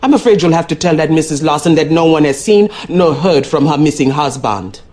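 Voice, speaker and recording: A man acting as a folksy Southern local in a mystery game, his voice set a little high. He speaks in a rustic American Southern drawl, with a cautionary manner. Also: lively intonation, brisk, darkish tone, natural voice. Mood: wry, cautionary. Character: folksy Southern local warning a detective of danger. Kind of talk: video game character acting.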